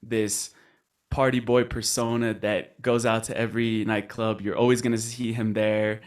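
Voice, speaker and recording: Young man in his twenties, from colombia, lowish voice, earnest, reflective storytelling. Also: flat delivery, normal speed. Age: 20s. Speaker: podcast guest. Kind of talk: casual podcast interview.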